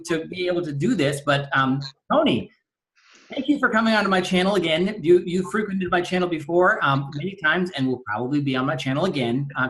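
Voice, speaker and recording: A man's voice: on the high side, pushed and bright, low-quality recording. A man hosting his own channel and interviewing a guest, opening enthusiastically with a chatty, filler-heavy delivery. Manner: enthusiastic, with many fillers and restarts.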